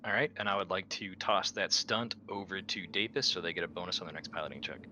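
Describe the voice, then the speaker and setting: A man's voice: deep voice, fast talker, sharp tone, faint music behind. A man playing a tabletop roleplaying game, talking casually as one of the players. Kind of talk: casual in-character game chat.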